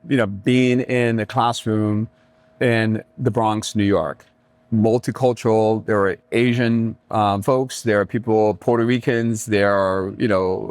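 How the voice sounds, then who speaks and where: A middle-aged man from Jamaica talking reflectively as an interview guest, pausing often mid-thought. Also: fairly low pitch, clean tone, no room sound. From Kingston, Jamaica. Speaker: restaurateur and community leader, podcast guest.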